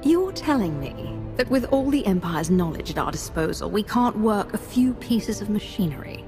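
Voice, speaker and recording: Casting: ruthless female Sith commander, imperious, lively pitch, dramatic game dialogue with music behind. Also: moderate pace. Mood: commanding. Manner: imperious, threatening.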